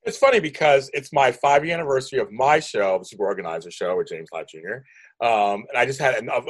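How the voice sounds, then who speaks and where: A man in his fifties with a deep voice, speaking as a guest in an interview, rambling and emphatic, often restarting his sentences. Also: breathy edge, no room sound, rough recording. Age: 50s. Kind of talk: animated conversational interview.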